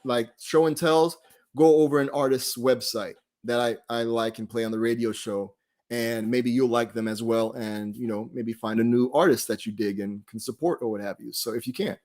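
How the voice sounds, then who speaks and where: A man's voice: medium pitch, normal speed, a little mellow. A man casually hosting a live online group, talking off the cuff in a rambling, laid-back way and often trailing off mid-thought. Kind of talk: casual, off-the-cuff livestream talk.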